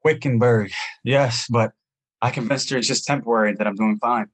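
A man's voice: medium pitch, fairly even intonation, fairly quick, lightly acted. An actor playing a young male Broadway chorus dancer who is stranded, earnest and pleading. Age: young adult. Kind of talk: audio play acting.

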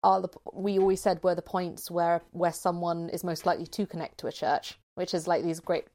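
A woman's voice: lowish voice, level tone, fairly quick, mellow. Thoughtful woman thinking aloud in conversation. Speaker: writer discussing their own show. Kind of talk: casual reflective conversation.

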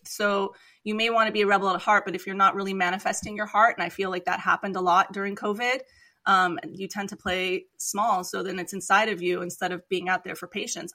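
A middle-aged woman from Iran, a physician giving her views in an interview. Her voice is bright and clear, and she speaks earnestly in measured phrases. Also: mid-range voice. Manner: earnest, measured, with light laughs. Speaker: physician guest. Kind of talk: reflective interview talk.

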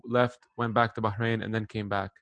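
Podcast host, male, born in Lebanon. Lowish voice, dry close sound, informal and chummy interview chat. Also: little pitch movement, fairly quick, creaky, fairly bright tone, dry recording. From born in Lebanon, grew up partly in Saudi Arabia. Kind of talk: casual conversational interview.

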